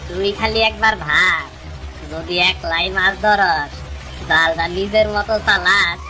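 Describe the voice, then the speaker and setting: A woman's voice: fairly low pitch, unhurried, bright, forceful tone, rough recording. A woman voices a greedy, scheming cartoon fisherman. The delivery is insinuating and pushy, in a rural Bangladeshi Bengali accent, with music playing behind. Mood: goading. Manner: insinuating, goading. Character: sly, greedy fisherman goading a younger man. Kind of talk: cartoon story dialogue.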